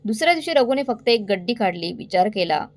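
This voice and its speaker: A woman's voice: fairly high pitch, big pitch swings, fast. A woman narrating a scripted story in a dramatic, suspenseful way, addressing listeners directly.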